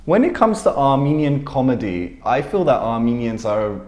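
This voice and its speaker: Young interviewer, dark voice. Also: male voice, medium pitch, big pitch swings, moderate pace, echoey room. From Britain. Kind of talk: news interview. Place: office studio indoors. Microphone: lapel.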